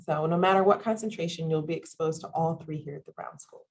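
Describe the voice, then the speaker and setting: Low-voiced breathy woman moderating a webinar. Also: steady tone, quick pace. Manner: upbeat, frequent ums, listing careers. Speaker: moderator and faculty presenter. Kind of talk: webinar presentation. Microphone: video call.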